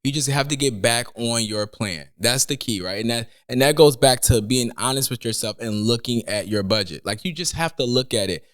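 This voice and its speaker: A man's voice: mid-range voice, moderate pace, few pauses, no echo, clean studio sound. A young adult man from Detroit, a guest on a podcast, explaining money matters plainly and often checking in with "right?". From Detroit, USA. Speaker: guest, finance speaker. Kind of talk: casual podcast conversation.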